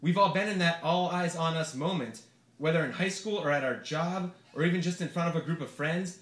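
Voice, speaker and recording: A man's voice: a little high, brisk, a bit bright. A man reading his comic essay aloud to a live audience in a theatrical, profane storytelling manner. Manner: theatrical comic delivery, swearing, mimicking quoted speakers. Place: live reading event in a bar, before an audience. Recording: echoey bar room, noisy live recording. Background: audience laughter, room noise.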